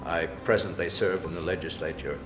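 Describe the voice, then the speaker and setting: A man's voice: low-pitched, steady tone, a little fast. A man, a businessman and legislator, introducing himself with some hesitation. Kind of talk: self-introduction. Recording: echoey room with music behind.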